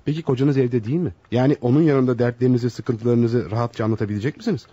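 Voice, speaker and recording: An actor playing a polite, bemused young bachelor psychologist in a radio play, courteous and questioning, easily flustered. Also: male voice, mid-range voice, fairly even intonation, quick pace, dark tone, breathy edge, close to an everyday voice. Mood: surprised, doubtful. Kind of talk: radio theatre acting. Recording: dull, roomy lo-fi radio drama.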